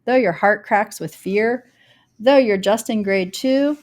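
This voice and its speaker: A woman reads a rhyming children's storybook aloud, telling it in a dramatic, deliberate way with varied emphasis. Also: mid-range voice, darkish tone. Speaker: storybook reader. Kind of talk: expressive read-aloud of a rhyming children's picture book.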